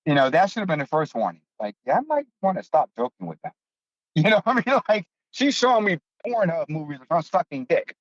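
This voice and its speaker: A man's voice: on the high side, sing-song, a little fast, dry recording, dull audio. A man in his fifties from the United States, a podcast host telling a personal story in a blunt, profane, self-mocking way. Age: 50s. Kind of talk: casual storytelling.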